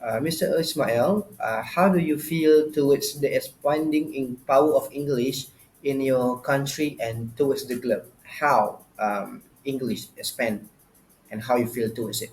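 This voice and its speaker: A man's voice: mid-range voice, a little slow, darkish tone, slight background noise, low-quality recording. A man moderating an academic interview as a student interviewer, thanking guests formally and introducing questions courteously.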